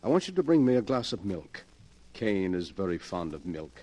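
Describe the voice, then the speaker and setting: An actor playing a cool, scheming gentleman in old-time radio horror, smooth, commanding and condescendingly soothing. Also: low-pitched, big pitch swings, normal speed. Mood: sly, commanding. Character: cool, scheming gentleman who manipulates a killer.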